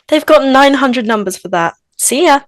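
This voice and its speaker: Teenage girl, sixteen, played by a woman: high, dry-sounding voice, breezy phone banter, playful and flippant. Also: animated, normal speed, no room sound. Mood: breezy, teasing. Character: friendly sixteen-year-old girl answering the phone, flippant, teasing. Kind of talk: acting in an online table read. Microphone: video call microphone.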